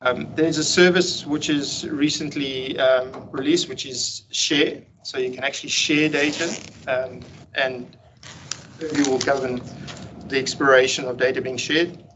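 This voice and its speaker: A man presenting a technical meetup talk over slides on a video call, explaining in a relaxed way with frequent ums and restarts. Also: medium pitch, measured pace. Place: home room on a video call. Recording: dull lo-fi video call audio with faint hiss.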